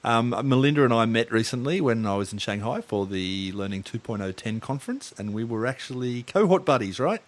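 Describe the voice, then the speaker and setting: A man's voice: medium pitch, expressive, brisk, few pauses. A man hosting a podcast interview in a loose, rambling way, full of fillers and restarts. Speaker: podcast host.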